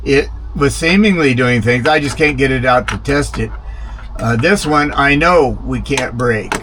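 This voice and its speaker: A man's voice: medium pitch, measured pace, fairly bright tone. A man narrating a hands-on job casually, rambling a little as he thinks aloud. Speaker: vlogger installing a vintage radio. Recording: clear background noise, vehicle ambience.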